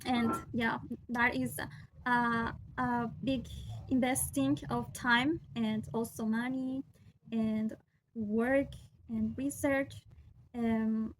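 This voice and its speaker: A young woman from Peru, a Spanish speaker with a Peruvian accent, talking to her camera in careful English with many pauses and restarts. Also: fairly high pitch, level tone, slight background noise, rough recording. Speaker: vlogger. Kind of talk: casual talk to camera.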